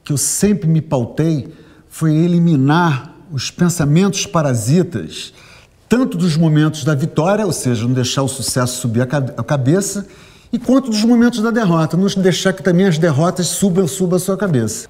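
Mellow-voiced carioca man in his fifties. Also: a little high, lively intonation, normal speed. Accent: Carioca Brazilian Portuguese. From Rio de Janeiro. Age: 50s. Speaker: interviewee, bookseller. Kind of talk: reflective testimonial. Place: studio with gold curtain backdrop.

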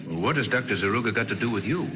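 Gentleman sleuth, played by a man, low, animated, suspicious; muffled old radio recording. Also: rapid-fire, lightly acted. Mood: probing. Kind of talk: 1940s radio acting. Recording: dull, very noisy vintage radio recording.

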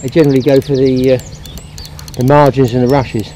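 A man's voice: mid-range voice, little pitch movement, brisk, warm tone, a little hiss or hum. Older man giving practical fishing tips. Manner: plain-spoken, practical, talks while working his hands. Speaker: fishing instructor. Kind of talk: outdoor angling demonstration. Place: grassy lake bank outdoors.

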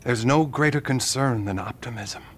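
An actor playing a glib, money-minded middle-aged therapist in a satirical video game cutscene, condescending and falsely soothing. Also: male voice, medium pitch, moderate pace, slight background noise, natural voice.

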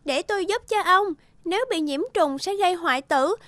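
A woman voicing a kind, earnest young sister in an animated morality tale. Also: very high voice, moderate pace, no room sound, studio quality. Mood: earnest, anxious.

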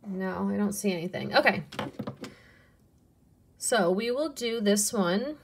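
Woman, young adult, unhurried, slow relaxed talk, soft muttered asides, casual haul video. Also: medium pitch, creaky, slight background noise. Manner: unhurried, softly muttering asides, cooing over pieces.

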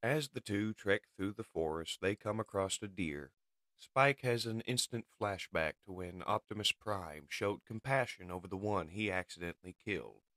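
A man's voice: deep voice, moderate pace, sharp tone, dry recording. A man reading dramatic scripted narration of a comic-book story.

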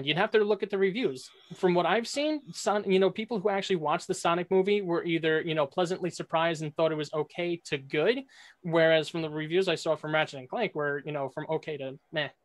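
A man's voice: sits high, dry recording. A young man making his case in a casual debate, opinionated and wordy. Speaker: debate contestant. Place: small room at home, video call.

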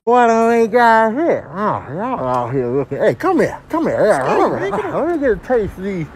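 A man's voice: high-pitched, wildly swooping pitch, normal speed, very noisy. A man voicing a greedy, coaxing pelican with slangy talk in a comic animal voice-over. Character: greedy, smooth-talking pelican eager to eat capybaras.

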